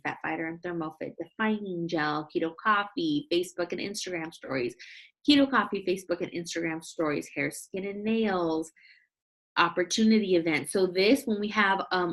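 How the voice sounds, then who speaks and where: A young woman with a fairly low voice runs a casual training call for her team. She talks in a chatty, upbeat way and keeps addressing her listeners directly. Her voice comes through a video call. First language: English. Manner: chatty and upbeat, often saying "you guys". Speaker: team leader training sales distributors.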